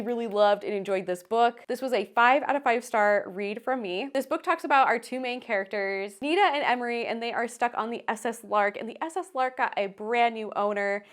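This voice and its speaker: A woman hosting a casual book vlog, talking to viewers in an enthusiastic, emphatic way with stretched-out stressed words. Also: on the high side. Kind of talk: casual chatty to-camera book review.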